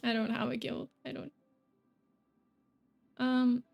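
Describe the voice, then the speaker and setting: Young woman streamer from Sweden, unhurried, hesitant casual chatter, faint music under her. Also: a little high, flat delivery, creaky, darkish tone. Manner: rambling, hesitant, self-correcting, giggly. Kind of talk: casual gameplay chat.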